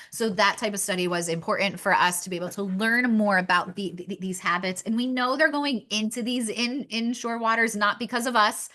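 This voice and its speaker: A woman's voice: mid-range voice, bright, forceful tone. A young woman from Pennsylvania presenting shark research in a webinar, earnest and stumbling on word restarts. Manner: earnest, frequent stammered restarts.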